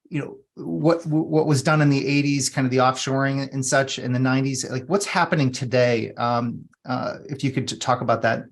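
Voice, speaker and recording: A man hosting an interview, asking long, rambling questions full of restarts and fillers. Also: medium pitch. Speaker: podcast host.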